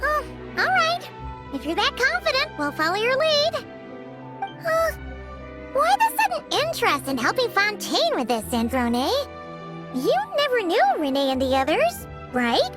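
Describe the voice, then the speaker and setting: An actress voicing a tiny, childlike fairy companion in a game, squeaky, eager and inquisitive. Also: female voice, wildly swooping pitch, measured pace, full character voice. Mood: curious. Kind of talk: scripted video game character dialogue. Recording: game music clearly behind the voice.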